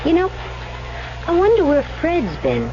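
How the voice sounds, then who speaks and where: A woman's voice: extremely high pitch, wildly swooping pitch, unhurried, background noise, heavily acted. An actress playing a trusting, easygoing young woman on holiday, breezy and ingenuous, in a 1970s radio drama. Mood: dreamy, cheerful. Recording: dull, band-limited 1970s radio recording.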